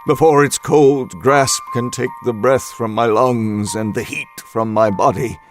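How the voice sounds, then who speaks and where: A man reading a first-person horror story with a measured, dramatic delivery. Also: medium pitch. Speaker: narrator. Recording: music bed underneath.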